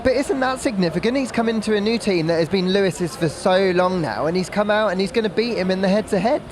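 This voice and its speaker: High-voiced British man chatting about sport. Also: quick pace, noisy background. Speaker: motorsport pundit. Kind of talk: casual opinionated chat.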